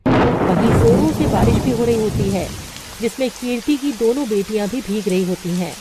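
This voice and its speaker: A woman narrating a cartoon storybook in a steady, plain way. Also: a little high, moderate pace, very noisy.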